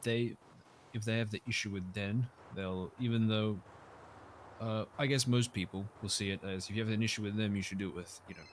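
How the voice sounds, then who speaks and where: A man in a roleplay game, talking hesitantly with many fillers and trailing off softly. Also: on the low side, steady tone, fairly quick, slight background noise. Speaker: roleplay player. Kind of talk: in-character roleplay chat.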